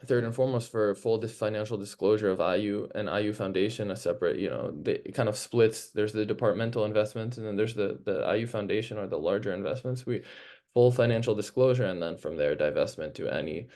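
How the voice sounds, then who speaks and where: Low, mellow young man presenting informally. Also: quick pace. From northern Palestine. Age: young adult. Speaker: student activist speaker. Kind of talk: informal spoken presentation at an online gathering. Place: online video call.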